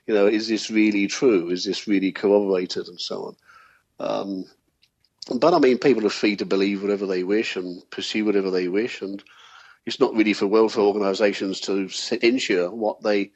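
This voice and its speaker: A man's voice: on the low side, fairly even intonation, moderate pace, a little mellow. A man chatting casually as an interview guest, with a hesitant, wry, discursive way of talking. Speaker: interviewed UFO sceptic.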